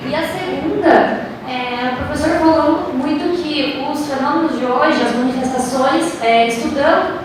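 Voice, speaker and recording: A young Brazilian woman putting questions from the audience in an informal, hesitant way. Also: sits high. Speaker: audience member asking questions. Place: large echoing room at a university event. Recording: very echoey room, distant mic, clear noise.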